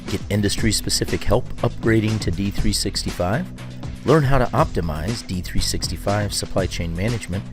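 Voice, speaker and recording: A man's voice: lowish voice, moderate pace. A man reading a scripted sponsor ad in a polished promotional delivery. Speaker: ad announcer. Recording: ad voice-over with music bed. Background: music.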